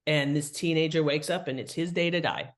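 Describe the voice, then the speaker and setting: A woman's voice: sits low, fairly quick. A woman speaking as an expert guest in an interview, giving conversational advice and explaining with emphasis on key words. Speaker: guest author and writing expert.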